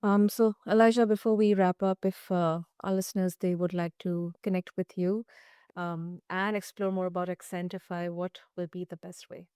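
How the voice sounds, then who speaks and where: The female host of an interview podcast heard through earbuds, smooth and clear in a dry studio-like sound, reflective and affirming. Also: on the low side, steady tone, normal speed, mellow, dry recording. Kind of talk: conversational interview hosting.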